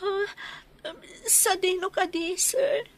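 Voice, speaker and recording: A woman's voice: very high voice, slow. A woman playing a distraught, meek pregnant daughter in an audio drama, pleading and deferential, with a northern Philippine accent. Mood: meek, hopeful. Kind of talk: Ilocano-audience audio drama acting. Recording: noisy track with vehicle and ambient sound.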